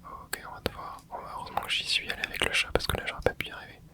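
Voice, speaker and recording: Young male ASMR gamer, steady close whisper, laid-back play-by-play. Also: rapid-fire. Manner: soft close whisper, relaxed running reactions. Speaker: ASMR gaming channel host. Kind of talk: whispered ASMR gameplay commentary.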